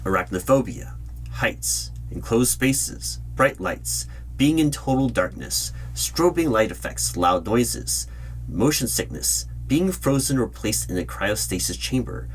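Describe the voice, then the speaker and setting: A man speaking in an ASMR roleplay as a secretive, official hiring manager, asking formal questions in a measured, deliberate way with pauses. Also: mid-range voice, low-quality recording. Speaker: roleplay video creator playing an interviewer. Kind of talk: ASMR roleplay, spoken rather than whispered. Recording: steady hum and keyboard typing noise. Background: computer keyboard typing.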